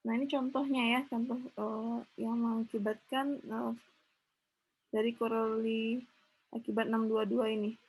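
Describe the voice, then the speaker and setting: A woman explains a math proof in a relaxed lecture, often pausing with fillers and correcting herself mid-sentence. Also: on the high side, breathy edge, rough recording. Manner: thinks aloud, frequent fillers and self-corrections. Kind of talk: informal lecture with screen notes.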